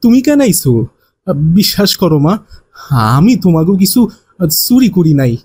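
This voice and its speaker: A woman's voice: medium pitch, expressive, normal speed, dark tone, a little hiss or hum, lightly acted. A woman voicing a timid older village thief, a man who pleads in rustic dialect, for a cartoon. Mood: frightened, pleading.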